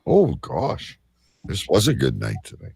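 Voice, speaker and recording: A man's voice: sits low, huge pitch swings, a little slow, vocal fry, a little mellow, breathy edge, dry recording. A man hosting a live show with casual, jokey banter and a rambling manner. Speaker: host of a live online show.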